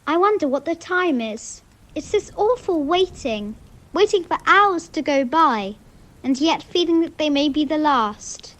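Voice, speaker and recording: A woman's voice: high-pitched, animated, unhurried, fairly bright tone. A woman acting an anxious, brooding young man in a radio play, with a fretful delivery. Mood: dread.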